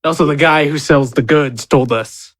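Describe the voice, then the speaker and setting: A man's voice: a little high, normal speed, a bit bright. A man playing a tabletop roleplaying game, talking casually with the others at the table.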